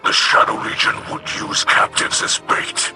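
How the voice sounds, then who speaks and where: An actor playing a grave, resolute alien warrior leader in a video game, with a breathy, rasping growl and formal, measured delivery. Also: male voice. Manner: formal, weighty, measured declarations. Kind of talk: video game mission dialogue. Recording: loud music over the voice.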